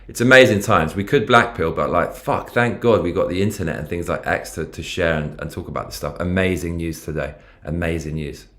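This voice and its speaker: A man's voice: low voice, brisk, hardly any pauses, fairly bright tone. A man in his forties with a London accent, hosting a rambling, profane political livestream and reading out chat comments. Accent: London English. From London, England. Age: 40s.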